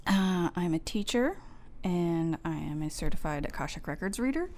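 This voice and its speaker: A woman from Nova Scotia, a teacher and spiritual reader, introducing herself calmly and plainly as an interview guest. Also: fairly low pitch, moderate pace, a little hiss or hum. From Nova Scotia, Canada. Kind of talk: interview answer.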